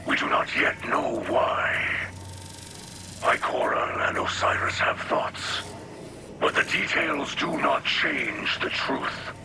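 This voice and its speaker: A solemn alien mentor for a video game, whispering in a gravelly rasp. He measures out each formal phrase slowly and reverently, with heavy ambient noise around him. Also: mid-range voice. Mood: urgent, solemn. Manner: slow, reverent, formal, deliberate. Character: solemn, devout alien warrior-mystic mentor, male. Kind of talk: scripted video game dialogue.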